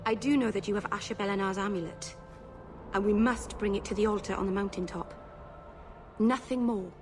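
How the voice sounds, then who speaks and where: A young elf mage played by a woman from a fantasy video game, speaking in a medium-pitched voice with music underneath. She is nervous and earnest, hesitating, apologising and tripping into rambling asides. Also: steady tone, a little fast, a little mellow, lightly acted. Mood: earnest, hesitant. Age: young adult. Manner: hesitant, apologetic, self-interrupting babble.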